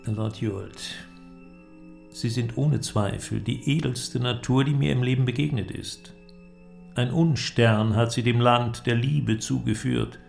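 An actor playing an eloquent, persuasive inventor in a radio drama, with a declamatory, deliberate delivery and ornate phrasing. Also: male voice, medium pitch, creaky, darkish tone, soft background music. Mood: grave, solemn. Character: eloquent visionary male inventor, grandiose and persuasive. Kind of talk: German literary radio drama acting.

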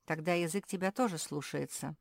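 A woman's voice: lowish voice, a little fast, gravelly, no room sound, clean studio sound. A woman voicing a sensible male terrier in a children's story, questioning and didactic. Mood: reasoning, reproving. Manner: reasoning, didactic.

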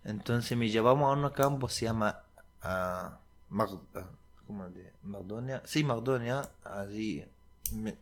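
A young Syrian man whose first language is Arabic, speaking halting Spanish with an Arabic accent, searching for words as he tells his story to an interviewer. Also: on the low side. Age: young adult. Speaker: guest.

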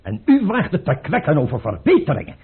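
An actor playing a suspicious, autocratic tsar, imperious and abrupt, in a radio play. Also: male voice, fairly high pitch, huge pitch swings, a little fast, breathy edge, exaggerated voice. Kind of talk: Dutch radio play acting. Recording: noisy, tinny old radio recording.

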